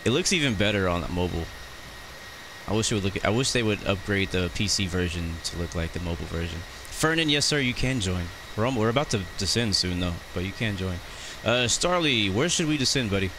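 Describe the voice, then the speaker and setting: A man's voice: fairly low pitch, fairly quick. An American man casually commenting on a flight simulator live stream, chatting with viewers as he plans his descent. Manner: relaxed, chatty, greets chat viewers. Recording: noisy background.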